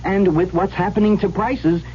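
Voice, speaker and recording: Easygoing male pitchman, played by an actor, conversational, slightly high, in a dull-sounding vintage radio spot. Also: fairly even intonation, moderate pace, dark tone, natural voice. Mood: genial, patient. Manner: casual, conversational. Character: genial, patient car pitchman quizzing a passerby. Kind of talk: 1970s radio ad. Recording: lo-fi radio broadcast, faint hum.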